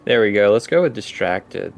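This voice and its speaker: A man's voice: lowish voice, lively intonation, normal speed, vocal fry, slight background noise. A man running a tabletop game, improvising narration and rulings in a hesitant, think-aloud way. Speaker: game master.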